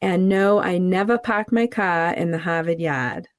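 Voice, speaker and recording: A middle-aged woman from New England, co-hosting a podcast in an earnest, warm-hearted, faith-centered chat, laughing easily. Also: on the low side, measured pace, no room sound. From New England, USA. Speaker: podcast co-host.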